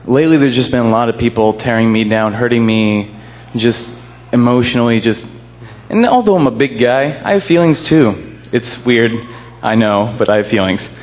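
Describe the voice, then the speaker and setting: Booming-voiced young man, earnest testimony. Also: lively intonation, normal speed, hardly any pauses, muffled recording. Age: young adult. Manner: earnest, jokes about himself, laughs nervously. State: shaky with emotion. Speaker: college student sharing a testimony. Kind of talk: church group testimony.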